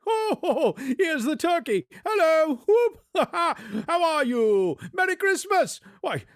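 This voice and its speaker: A man's voice: very high voice, slow, no room sound, clean studio sound, pitched above the performer's own voice, exaggerated voice. A man voicing an elderly reformed miser, giddy with joy and generosity, in an effusive, theatrical storybook radio-play style. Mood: giddy glee. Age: older. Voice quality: excitable, exclaiming, breaking into chuckles. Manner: effusive, courteous, old-fashioned British phrasing.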